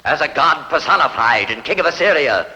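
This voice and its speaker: A man's voice: on the high side, lively intonation, brisk, pushed and bright. An actor playing a proud, aging, authoritative king in a dubbed historical epic, speaking in a formal, regal, declamatory way. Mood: stern, resolute. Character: proud aging king of Assyria, self-styled god, authoritative. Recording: long hall reverb.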